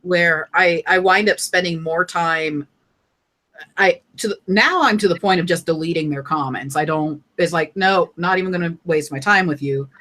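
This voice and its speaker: A man with a fairly high voice chatting as a guest. He speaks casually, breaking off and restarting sentences as he goes. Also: normal speed, pushed and bright. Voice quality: higher-pitched voice. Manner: casual, trailing off mid-sentence. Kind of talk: casual conversation.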